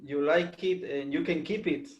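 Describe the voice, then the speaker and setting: A man's voice: on the high side, steady tone, moderate pace, highs cut off. A man taking part in an online teachers' workshop, polite and earnest with hesitant restarts. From Peru. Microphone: video call. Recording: echoey room over a video call.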